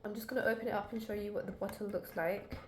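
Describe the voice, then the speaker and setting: Woman, voice kinda flat and worn from being sick, chatty review at her desk, roomy echo. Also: medium pitch, fast talker, slight background noise. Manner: chatty, talks straight to viewers. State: voice still recovering, lower and dull. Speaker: fragrance reviewer. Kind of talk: casual product review. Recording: echoey room.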